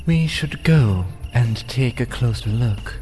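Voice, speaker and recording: A man's voice: medium pitch, expressive, slow, a little mellow, faint music behind. A man acting a wary, serious archer who leads adventurers in a fantasy audio drama, with a cautious manner. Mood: wary, urgent. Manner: cautious, reluctant.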